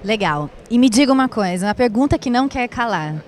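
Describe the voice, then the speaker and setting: A woman interviewer asking brisk, friendly questions at a busy trade fair, speaking into a handheld mic. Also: on the high side, lively intonation. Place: busy indoor expo hall.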